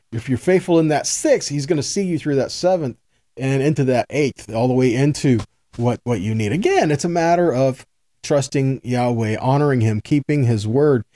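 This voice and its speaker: Guy doing a folksy earnest bible teaching, warm relaxed voice, repeats himself to make the point, really dry recording. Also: medium pitch, no room sound. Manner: earnest, folksy, repeats phrases for emphasis, checks in with "right?" and "okay?". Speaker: Bible teacher, host of a weekly teaching video. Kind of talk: sermon, informal Bible teaching.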